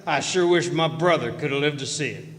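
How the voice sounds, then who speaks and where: A man's voice: on the high side, brisk, a bit bright, a little hiss or hum, close to an everyday voice. A man acting a folksy, emphatic old rancher in a western radio drama. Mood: hearty. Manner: plainspoken, emphatic western drawl. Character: folksy, hearty old male rancher uncle. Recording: reverberant hall.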